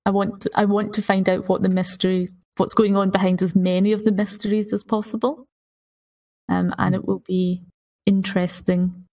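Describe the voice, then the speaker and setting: Female podcast panelist, medium pitch, casual discussion, slightly distant mic. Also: flat delivery, normal speed, mellow.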